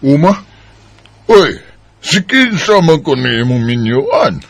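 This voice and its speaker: A man's voice: a little high, sing-song, slow, dry recording, exaggerated voice. An actor playing a stubborn, brash young man in a Bisaya radio drama, cocky and insistent.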